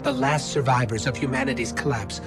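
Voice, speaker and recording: A man's voice: medium pitch, fairly quick, close to an everyday voice. A man voicing a small, caring, earnest robot companion who guides the player in a video game. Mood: solemn, proud. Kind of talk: video game dialogue and guiding narration. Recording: game music behind the voice.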